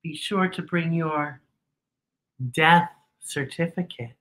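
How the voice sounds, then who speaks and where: A middle-aged man reading a picture book aloud to children with theatrical, exaggerated, drawn-out delivery. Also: fairly high pitch, fairly even intonation, a bit bright, reverberant. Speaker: children's storytime host.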